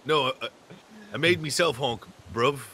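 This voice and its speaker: A man plays a filthy, cheerful bloke convinced he is dying. His voice is bright and forward, with a middling pitch, and nearly every phrase ends on mate or bruv. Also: unhurried, breathy edge. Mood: blokey. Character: grubby diseased man who calls everyone mate. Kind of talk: tabletop roleplay.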